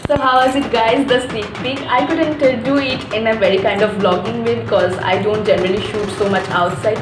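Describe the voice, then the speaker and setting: A woman with a Bengali accent and a hoarse voice, chatting casually to viewers in a vlog and mixing in Bengali phrases. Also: a little high, roomy sound, rough recording. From India. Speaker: book vlogger.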